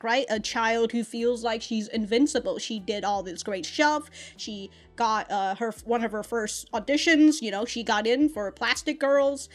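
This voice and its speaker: A young woman reviewing an anime episode in casual talk, ranting and swearing, with many fillers and laughs, close to the mic indoors. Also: fairly high pitch. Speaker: anime reaction YouTuber. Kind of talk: casual reaction commentary. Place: small room indoors with green-screen backdrop.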